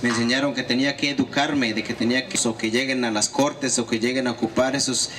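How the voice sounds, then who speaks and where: A man giving a fluent, steady consecutive interpretation at a public gathering. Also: medium pitch, quick pace, a little hiss or hum. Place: outdoor gathering.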